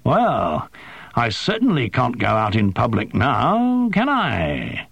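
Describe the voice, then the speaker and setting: Narrator as sardonic male artist: drawling, unhurried, stubborn, lively pitch, audiobook role. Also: no room sound. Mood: sarcastic. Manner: drawling, dry, sarcastic. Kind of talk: audiobook character voice.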